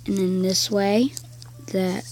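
A woman with a croaky voice giving casual gameplay commentary, slowly counting blocks aloud as she builds. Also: medium pitch, fairly even intonation, a little mellow, a little hiss or hum. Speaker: game video creator.

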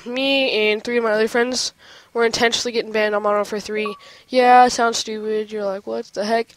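A male gaming host with a stuffy nose from a cold, chatting excitedly while clicking through game menus at home. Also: extremely high pitch, no room sound, low-quality recording. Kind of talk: casual game menu walkthrough.

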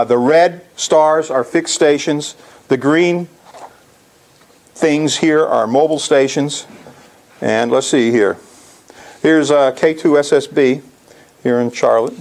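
A man's voice: medium pitch, measured pace, vocal fry, long pauses, a little mellow. Older American man giving an informal presentation. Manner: casual, explanatory. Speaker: contest organizer presenting to a club. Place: lecture hall, indoors.

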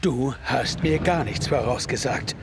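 A man's voice: mid-range voice, unhurried, music in the background, natural voice. A man playing an impudent, stubborn drunkard blacksmith in an audio drama, cheeky and insistent. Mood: defiant. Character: Jack Oldfield, impudent, stubborn drunkard blacksmith at Hell's gate. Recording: clearly noisy atmospheric sound bed.

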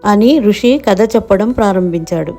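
Mellow woman narrating a children's story. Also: medium pitch, normal speed, a little hiss or hum.

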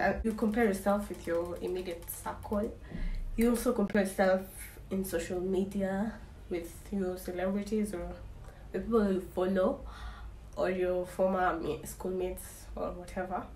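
A woman's voice: mid-range voice, a little mellow. Wry young woman vlogging in an echoey room. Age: 20s. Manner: candid, wry, rambling with repeated restarts. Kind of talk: casual talk to camera. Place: small indoor room. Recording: echoey room, faint ambient hiss.

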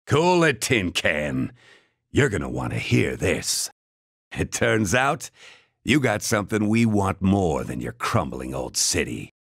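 Video game warlord, husky, arrogant, drawling taunts, swooping intonation. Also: male voice, low voice, big pitch swings, slow pace, fairly bright tone, dry recording, clean studio sound, exaggerated voice. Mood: smug threat. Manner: taunting, drawling, mock-polite. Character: arrogant, menacing male warlord of an invading army.

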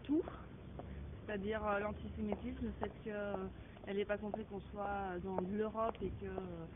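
A woman being interviewed outdoors in a park, answering softly and with some hesitation. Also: medium pitch, fairly even intonation, normal speed. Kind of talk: street interview. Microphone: handheld mic held by interviewer. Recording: phone-like, far off, loud outdoor noise.

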